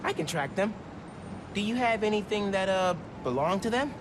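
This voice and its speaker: A man's voice: sits high, expressive, moderate pace, background noise. An actor playing an upbeat, friendly teenage boy superhero in a video game, with casual, earnest, joking delivery. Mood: focused, friendly. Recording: loud music behind the voice.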